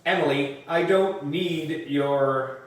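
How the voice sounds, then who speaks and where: A man's voice: on the high side, slow pace. A man voicing an anxious, defensive schoolboy in a children's storybook, emphatic and pleading. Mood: annoyed, defensive. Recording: roomy echo, distant microphone.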